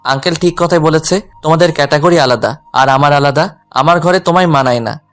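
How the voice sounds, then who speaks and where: A man's voice: on the high side, brisk, fairly bright tone, faint music behind, lightly acted. A man playing a lovelorn, self-effacing young tutor who narrates his own romantic story. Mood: resigned. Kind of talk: scripted first-person romantic story narration with dialogue.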